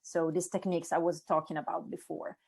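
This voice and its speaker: A woman's voice: low voice, level tone, brisk, gravelly, darkish tone, breathy edge. Italian-accented woman introducing her course. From Italy. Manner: lots of fillers and restarts, enthusiastic. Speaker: course instructor. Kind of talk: casual spoken course introduction.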